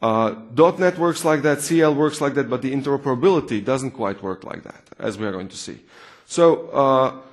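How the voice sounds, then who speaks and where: A man with a Croatian accent delivers a technical presentation in a medium-pitched voice. He talks informally to his audience. He often asks rhetorical questions and repeats words to stress a point. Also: expressive, moderate pace. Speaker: conference presenter, software consultant and trainer.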